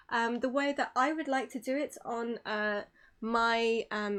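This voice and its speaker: A woman's voice: fairly high pitch, a bit bright. A woman giving an informal online presentation as a streamer, polite and apologetic in manner.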